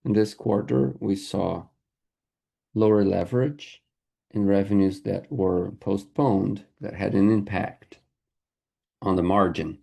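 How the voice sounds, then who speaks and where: Guy interpreting an earnings call, very creaky and kinda husky, stop-start phrases. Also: on the low side, fairly even intonation, warm tone. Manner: halting, phrase-by-phrase delivery. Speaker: conference interpreter.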